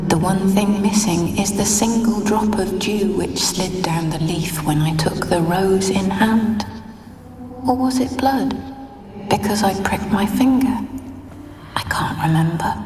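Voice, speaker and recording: An actress playing the sorrowful, fragile ghost of a dead wife in a video game, speaking in a wistful, halting, hushed manner. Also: female voice, medium pitch, a little hiss or hum, close to an everyday voice. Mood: dreamy, wistful. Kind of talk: dramatic video game acting. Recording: echoey hall reverb, distant, faint music.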